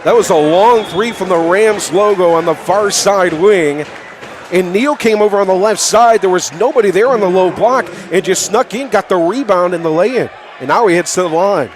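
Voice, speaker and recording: A man calling live basketball play-by-play for radio in clipped phrases, excitable and raising his voice on big plays. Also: high-pitched, normal speed. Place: indoor high school gymnasium. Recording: live from noisy gym, crowd behind. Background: crowd and bouncing basketball.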